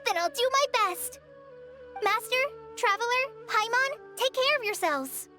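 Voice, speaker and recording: A woman's voice: extremely high pitch, expressive, measured pace, loud music behind the voice. A woman voicing an earnest, timid young girl apprentice in a video game, polite and hesitant in her speech.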